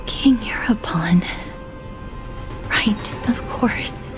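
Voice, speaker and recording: An actress playing a composed, observant travelling companion in a video game, polite, measured and formal. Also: female voice, medium pitch, whispered, music in the background. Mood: quietly pensive.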